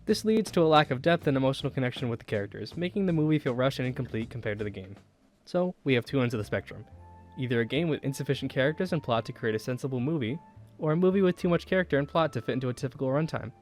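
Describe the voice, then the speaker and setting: A man's voice: mid-range voice, expressive, very fast, darkish tone. Young man narrating, music behind. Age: young adult. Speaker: student video essayist. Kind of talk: scripted video essay narration.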